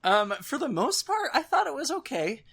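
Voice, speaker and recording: A man's voice: extremely high pitch, very animated, normal speed, vocal fry, sharp tone. A man co-hosting a podcast in casual conversation, with an informal, wry, self-deprecating way of talking. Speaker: podcast co-host. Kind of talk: casual chat about nightmares and horror films.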